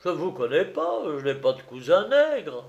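A man's voice: fairly high pitch, very animated, normal speed, darkish tone, muffled recording, voice pushed up. A man voicing an older bourgeois father in a comic storybook tale, pompous and emphatic, hearty but easily outraged.

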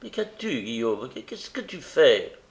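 A man voicing a stubborn, desperate young woman who pleads insistently and haltingly in a story reading. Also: mid-range voice, sing-song, reverberant. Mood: agitated, insistent.

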